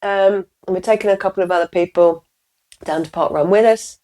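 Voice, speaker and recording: A woman vlogger from England, croaky from a cold, chatting casually with fillers and small laughs. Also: mid-range voice, brisk, mellow. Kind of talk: casual vlog.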